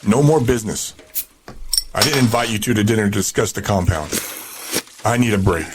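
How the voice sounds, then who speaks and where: Man playing a low-voiced, callous commander. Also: a little fast, background noise, close to an everyday voice. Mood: cold, businesslike. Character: commanding, callous male leader of a slaving compound. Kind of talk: audio drama acting.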